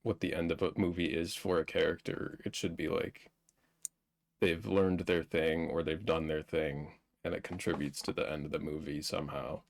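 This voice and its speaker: A man's voice: rumbling low, level tone, moderate pace, gravelly. A man co-hosting a live-streamed movie discussion in a casual conversational style. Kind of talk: casual chat, film commentary.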